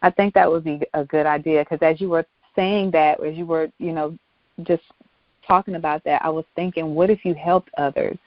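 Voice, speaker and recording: A woman's voice: low voice, fairly even intonation, normal speed, no echo. A woman hosting a talk show, chatting casually and informally in an encouraging way, often repeating her phrases. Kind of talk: casual interview chat. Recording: dull lo-fi sound.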